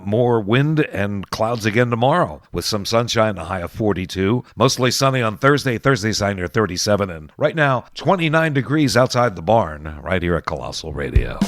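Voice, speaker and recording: A man's voice: fairly low pitch, no room sound. A man hosting an oldies radio show with casual, folksy DJ patter and conversational asides in a studio. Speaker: radio host. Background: music.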